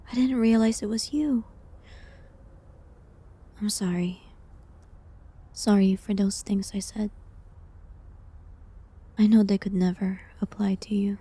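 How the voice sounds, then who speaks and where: A woman playing a gentle, sorrowful village herbalist condemned as a witch, speaking in a hushed, halting way in a dramatic audio roleplay. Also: medium pitch. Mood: tender, relieved. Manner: hushed, breathy, halting delivery.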